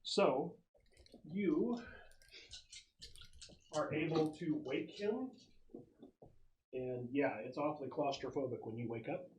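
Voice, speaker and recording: A man acting as game master, narrating a tabletop roleplaying game in a halting, conversational way. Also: fairly high pitch, a little mellow, slight background noise, rough recording.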